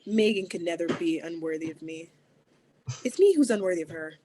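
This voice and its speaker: A woman's voice: lowish voice, lively intonation, a little fast, warm tone, slight background noise, natural voice. A woman reading the part of a guarded teen girl who breaks down, in an amateur table read. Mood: defensive. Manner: emotional, breaks into laughing tears. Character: teen girl April, guarded then tearful.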